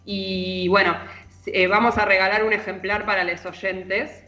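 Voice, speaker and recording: A bright female voice with a Rioplatense Argentine accent chats about books as a radio columnist. The sound is roomy and echoing, with faint music underneath. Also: mid-range voice, flat delivery, measured pace. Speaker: literary columnist on a radio show. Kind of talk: conversational book column.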